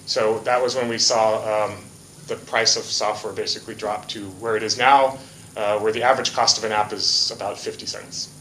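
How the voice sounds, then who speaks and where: Man from Hawaii, conference talk, relaxed joking delivery, echoey room. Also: fairly low pitch, moderate pace, a little hiss or hum. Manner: casual, joking, many fillers. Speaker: conference speaker. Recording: echoey hall.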